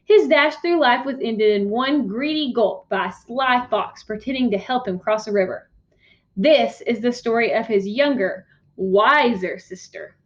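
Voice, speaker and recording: A woman with a high voice reads a children's picture book as a teacher would. She is expressive and instructive, checking in with questions. The recording is a bit dull and lo-fi. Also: unhurried. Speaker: teacher reading aloud. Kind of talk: picture-book read-aloud for young children. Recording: dull, muffled lo-fi sound.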